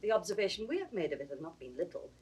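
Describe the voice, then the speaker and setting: A woman playing a cold, calculating princess in classical Shakespearean style, husky and breathy, urgent and conspiratorial. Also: lowish voice, very animated, racing, a little mellow, exaggerated voice. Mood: cold, plotting. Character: cold, calculating princess plotting against her aged father. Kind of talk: classical Shakespearean stage acting.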